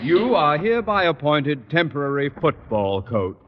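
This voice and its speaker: An actor playing a pompous, nervous, middle-aged school principal with sarcastic, theatrical bluster in a radio sitcom. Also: male voice, on the high side, very animated, normal speed, big-room sound. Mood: sly. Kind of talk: 1950s radio sitcom acting. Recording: dull, noisy old radio recording.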